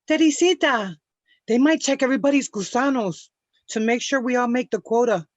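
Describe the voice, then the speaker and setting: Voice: mid-range voice, moderate pace, lightly acted. A reader voicing a chatty, rebellious young girl who confides secrets, in a play table read. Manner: gossipy, confiding. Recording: dull lo-fi audio.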